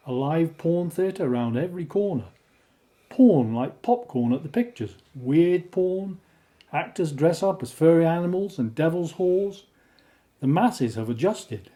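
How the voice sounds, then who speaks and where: A man's voice: on the high side, warm tone. A man playing an opinionated, wry settler in an audio drama, with a blunt way of talking. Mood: wry, emphatic. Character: opinionated, wry male settler living apart with abductees.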